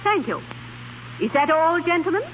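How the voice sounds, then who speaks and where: An actress playing a cool, formal, wronged society wife in a 1930s radio drama. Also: female voice, extremely high pitch, big pitch swings, moderate pace. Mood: icy politeness. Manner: crisply polite, formal. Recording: muffled, noisy, reverberant 1930s radio recording.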